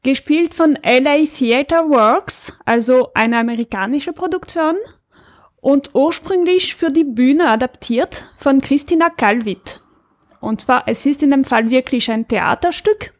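A woman's voice: sits high, unhurried. A woman talking casually as a podcast co-host. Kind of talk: casual chat.

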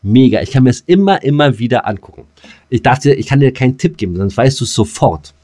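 A man's voice: mid-range voice, animated, fast talker, mellow. A middle-aged man co-hosting a podcast in casual, slangy, teasing banter. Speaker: podcast co-host.

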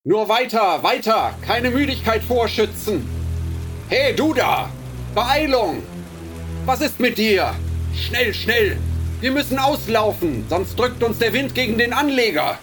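A gruff, leering ship's officer in a radio play, barking orders in a raised, high-set voice. Rain and music sound behind him. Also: male voice, big pitch swings, unhurried, heavily acted. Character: gruff male ship's officer herding children aboard. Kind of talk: radio drama acting.

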